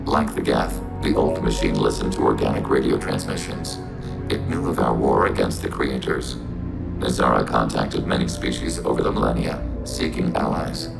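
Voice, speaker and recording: An actor voicing a calm, logical synthetic soldier, speaking in flat, precise, formal sentences. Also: male voice, very deep voice, moderate pace, gravelly, full character voice, rough recording. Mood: explaining. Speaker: squadmate. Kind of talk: video game voice acting. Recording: game audio with music behind.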